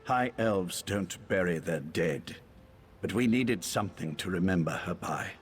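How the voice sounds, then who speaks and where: A man playing a grieving, bitter elf father and former cultist in a video game, speaking in a measured, earnest way. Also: low voice, lively intonation, faint music behind. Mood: wistful grief.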